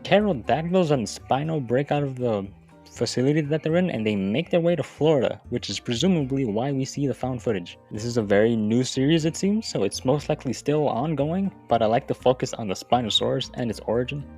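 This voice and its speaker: A man delivers scripted video-essay narration with a casual, conversational feel. His voice sits at an average pitch, and he slips in wry, profane asides. Music plays softly behind him. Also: lively intonation, a little fast. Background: background music.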